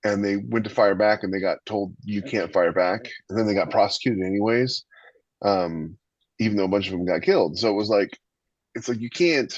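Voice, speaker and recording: A man's voice: fairly low pitch, normal speed, no echo, dull audio. A man talking casually and emphatically on a podcast as an opinionated host who gives advice.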